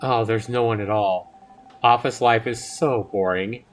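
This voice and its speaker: A man's voice: fairly low pitch, fairly even intonation, measured pace, lightly acted. A man voicing a cheerful, airy young woman who teases her friends in a visual novel, light and guileless. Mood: curious, casual. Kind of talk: visual novel character read aloud.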